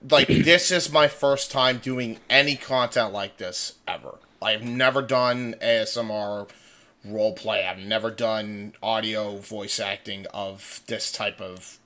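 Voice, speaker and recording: A man's voice: fairly low pitch, fairly even intonation, a little slow, pushed and bright. A man chatting casually and agreeably as a voice actor on a livestream. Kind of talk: casual chat.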